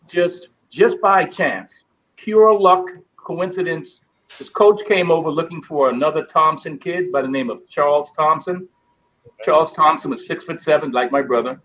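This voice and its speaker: A middle-aged man, a guest telling stories in an animated way. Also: fairly high pitch, dull audio. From Bahamas. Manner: animated storyteller acting out quoted voices. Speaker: guest, former basketball player. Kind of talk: storytelling interview.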